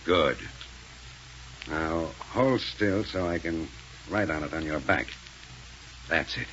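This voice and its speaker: An actor playing a ruthless, impatient gang leader who snaps orders, in a 1930s radio serial style. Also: male voice, a bass voice, takes it slowly, a bit bright, heavily acted. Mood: brisk, commanding. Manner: curt, bossy, snapping orders. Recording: muffled, noisy 1930s radio recording.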